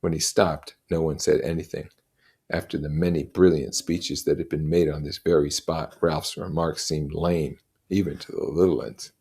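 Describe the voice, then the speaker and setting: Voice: rumbling low, flat delivery, moderate pace, mellow, husky. A narrator reading a novel aloud expressively and adding explanatory comments.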